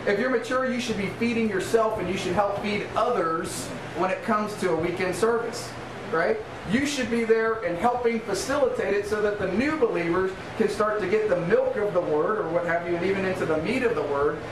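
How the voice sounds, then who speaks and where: A man's voice: high voice, fairly quick, hardly any pauses. A middle-aged American man preaching an informal sermon as a pastor, emphatic and conversational, checking in with rhetorical questions. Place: small church room in a converted bar, indoors. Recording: roomy, distant mic, noisy background.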